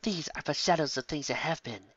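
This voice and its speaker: A man's voice: high voice, big pitch swings, a little fast, a bit bright, no room sound, lo-fi sound, voice pushed up, full character voice. A man reading a fan story aloud, voicing a stern, guilt-tripping ghostly mother who scolds her grown son. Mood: scolding. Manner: strict, scolding.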